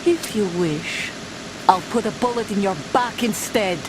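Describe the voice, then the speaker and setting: Woman playing bitter young female commander, mid-pitched, defiant, confrontational, game cutscene, clear background hum. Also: a little slow, close to an everyday voice. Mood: bitter, threatening. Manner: confrontational, hard-edged. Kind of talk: video game cutscene acting.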